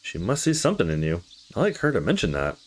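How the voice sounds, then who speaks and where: A man reading visual novel dialogue aloud in a casual, expressive way, as a let's play host. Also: low-pitched, fast, soft background music.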